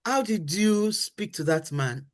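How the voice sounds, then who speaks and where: A woman's voice: medium pitch, lively intonation, a little slow. A middle-aged woman on a faith-based talk show, teaching about marriage. She talks in an earnest, emphatic way, repeats her key phrases, and acts out what spouses say.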